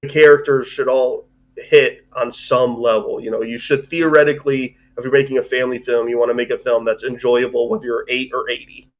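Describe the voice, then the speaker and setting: Man, mid-pitched, casual review chat, halting, rambling, loose sweary phrasing. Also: little pitch movement, low-quality recording. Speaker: movie review show host. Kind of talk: casual chat, film discussion.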